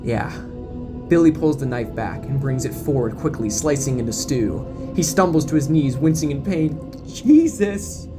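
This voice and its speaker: A man's voice: mid-range voice, normal speed, few pauses, music in the background. A man giving dramatic scripted narration as the narrator of a horror audio drama.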